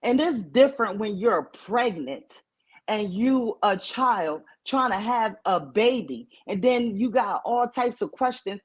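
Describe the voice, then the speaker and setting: A woman's voice: medium pitch, lively intonation, slow, husky. A woman in her forties calling in to a talk show, firm and argumentative as she pushes her point. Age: 40s. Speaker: caller. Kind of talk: casual call-in chat.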